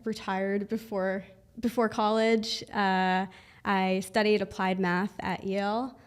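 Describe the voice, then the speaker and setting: A woman's voice: mid-range voice, little pitch movement, takes it slowly, smooth. Woman, young adult, from Israel, interview guest, friendly, laughing, filler words, studio. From Israel, raised in Los Angeles. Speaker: guest, app founder. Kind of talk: casual interview chat.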